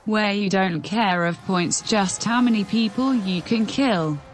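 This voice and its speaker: A woman making dry, deadpan quips as commentary on a video game stream. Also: medium pitch, measured pace, faint music behind.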